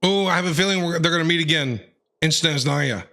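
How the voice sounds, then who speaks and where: A man's voice: a little high, a little fast, creaky, bright, forceful tone. A man giving casual live playthrough commentary as a game streamer, informal and reactive, with jokey asides.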